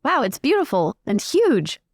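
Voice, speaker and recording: A woman's voice: sits high, wildly swooping pitch, unhurried, no room sound, studio quality. A woman playing a tabletop roleplaying game, casually speaking in character and asking questions.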